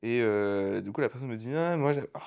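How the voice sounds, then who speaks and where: A man's voice: medium pitch, expressive, vocal fry, a little mellow, clear, resonant tone, muffled recording. A young man co-hosting a casual podcast, joking, rambling and teasing his friend, talking into a handheld mic. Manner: jokey, rambling, quick to tease. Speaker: podcast co-host. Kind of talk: casual chat.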